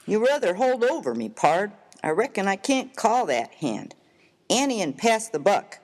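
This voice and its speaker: A rough American frontier miner voiced by a man, folksy and slangy in a comic story reading. His pitch swings widely as he makes each point emphatically. The recording rings with a long, hall-like reverb. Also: sing-song, unhurried, heavily acted. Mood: earnest, slangy. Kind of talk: dramatized reading of a humorous story.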